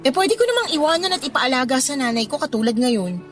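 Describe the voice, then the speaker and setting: A woman's voice: high-pitched, sing-song, fast. An actress playing a discontented, flirty mother of thirty who confides in a Filipino accent, in a conversational radio drama style. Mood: confiding, resentful. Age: 30s. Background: background music.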